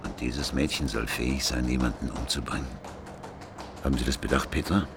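Very deep-voiced, pensive male detective. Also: fairly even intonation, a little fast, gravelly, music in the background. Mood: troubled, pensive. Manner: ruminative, skeptical. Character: pensive, doubting male police detective, the woman's partner. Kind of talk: German crime radio play dialogue.

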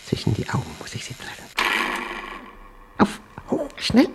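An actor playing an obsessed, paranoid man in a radio drama monologue, speaking intensely in halting, clipped fragments as he battles a machine he fears. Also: slight background noise, natural voice. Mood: panicked frenzy.

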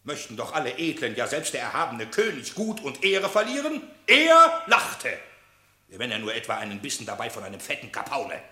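An actor playing a fierce, scornful panther accuser, declaiming forcefully in a verse radio drama. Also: male voice, mid-range voice, wildly swooping pitch, moderate pace, reverberant, exaggerated voice. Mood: scornful, outraged.

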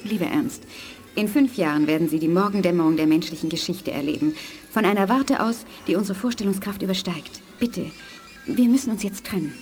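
A woman playing a grave, gentle and secretive eleven-year-old girl telepath in a radio play, speaking formally and earnestly. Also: lowish voice, lightly acted. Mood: pained, secretive. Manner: formal, measured, earnest phrasing. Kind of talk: 1980s German radio play acting. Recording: studio radio play with prominent music. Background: music.

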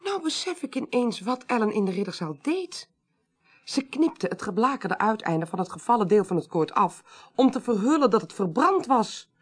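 A woman playing a sharp, determined investigator in a Dutch radio drama, speaking deliberately and insistently. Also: a little high, very animated, slightly rough. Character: sharp, determined investigator, convinced of foul play.

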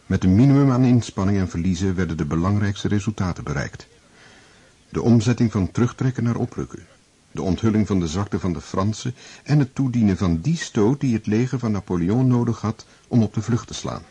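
A man's voice: fairly low pitch, animated, fairly quick, mellow, breathy edge. A man reading scripted narration as the narrator of a historical radio drama.

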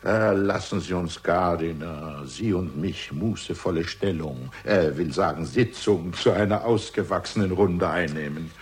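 A pompous witty pub swaggerer, lowish voice, draws his words out, radio play. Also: a little slow, few pauses. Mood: jovial, grandiose. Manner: pompous, theatrical, mock-grandiose. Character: swaggering, witty barfly with florid pompous speech. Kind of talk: 1960s German radio crime drama.

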